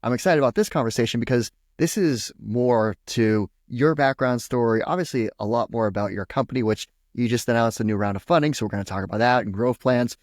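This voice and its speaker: A man's voice: medium pitch, a little fast, few pauses, dry recording, studio quality. A man speaking as a podcast host, interviewing a guest in a conversational style.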